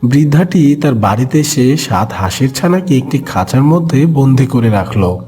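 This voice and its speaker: Medium-pitched male story narrator. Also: animated, normal speed, mellow, soft background music. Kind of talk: scripted children's story narration.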